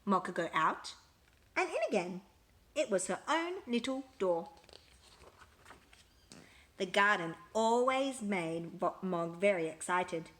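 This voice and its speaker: Animated woman reading a children's story. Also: mid-range voice, takes it slowly, long pauses. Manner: expressive, emphatic storytelling. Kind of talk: read-aloud children's picture book narration.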